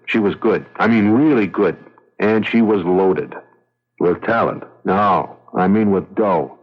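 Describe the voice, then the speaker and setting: Husky, slangy young American hustler. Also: male voice, deep voice, measured pace. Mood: wry, reminiscing. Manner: slangy, hard-boiled delivery. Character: self-serving young male talent agency gopher, slangy and callous. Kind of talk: 1970s radio drama acting. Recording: dull vintage radio recording.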